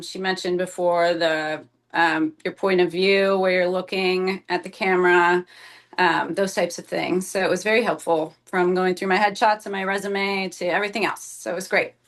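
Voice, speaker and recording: A woman taking part in an actors' table read on a video call, talking casually. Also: lowish voice. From Phoenix. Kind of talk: casual chat.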